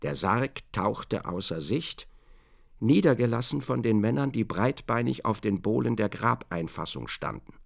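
An actor playing the sober narrator of a radio drama, reading literary prose in a measured, deliberate, gravelly voice. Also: male voice, on the low side, expressive.

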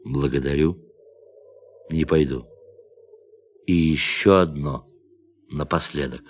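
An actor playing a calm, defiant astronaut in a radio play, speaking in a measured, quietly intense way. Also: male voice, a bass voice, a little hiss or hum. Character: calm defiant astronaut who turned on his crew.

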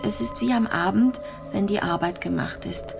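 An actress playing a gravely ill young woman in a radio drama, speaking softly and haltingly, melancholic and introspective. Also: mid-range voice. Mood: wistful, resigned. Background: music.

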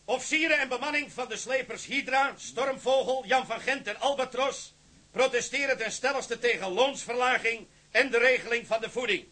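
An actor playing a fiery union agitator rallying seamen in a radio drama with declamatory, sloganeering oratory. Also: male voice, very high voice, pushed and bright, heavily acted. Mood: formal, defiant. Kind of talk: radio drama acting, political speech.

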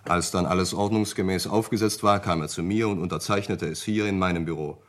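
A man's voice: low-pitched, fairly even intonation, a little fast, lightly acted. A man acting as a formal, precise and obliging solicitor in a radio crime play. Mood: formal, matter-of-fact. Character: formal, obliging male solicitor, measured and discreet.

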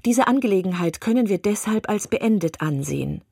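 A woman's voice: mid-range voice, lively intonation, brisk, creaky, dark tone, breathy edge, no room sound, clean studio sound. A woman voicing a spirited young woman who firmly and precisely refuses a suitor, in an audiobook. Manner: firm, exasperated, precise. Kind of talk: audiobook reading of a classic novel.

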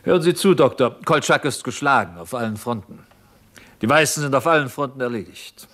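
A man's voice: medium pitch, lively intonation, a little fast, a bit bright, lightly acted. A man playing a boisterous, self-satisfied partisan leader in a radio play, hearty, brusque and repeating himself for emphasis. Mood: gloating, teasing. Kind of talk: 1950s German radio drama acting.

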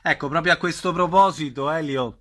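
A man's voice: on the high side, a little fast, a bit bright. A man working as a radio interviewer, introducing a guest and asking questions in a studio.

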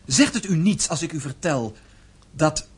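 An actor playing a polite, earnest young gentleman in a radio drama, speaking formally and persuasively. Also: on the high side, lively intonation, unhurried, slightly rough, full character voice. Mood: courteous, firm. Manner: courteous, formal.

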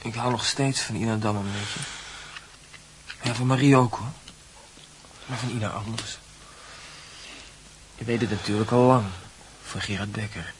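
An actor playing a lovesick, proud and touchy schoolboy in a radio drama, with an emotional, argumentative manner. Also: male voice, lowish voice, steady tone, moderate pace, long pauses, close to an everyday voice. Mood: brooding, confiding. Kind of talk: Dutch radio drama acting. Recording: noisy radio play recording with ambience.

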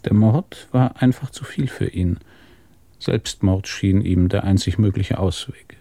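A man's voice: sits low, measured pace, warm tone, no echo. An actor playing a seasoned, sardonic male police inspector in a German radio crime drama. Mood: brisk, conclusive. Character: seasoned, dry-witted male New York police inspector.

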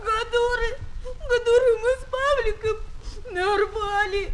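A woman playing a naive, pleading little boy in a children's audio drama, with a piping, halting, earnest voice. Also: extremely high pitch, steady tone, crowd noise. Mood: tearful. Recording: noisy old 1970s flexi-disc recording.

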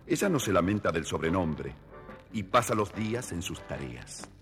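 A man, giving expressive scripted narration of a children's story. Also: low voice, moderate pace, soft background music. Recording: reverberant old record, faint noise.

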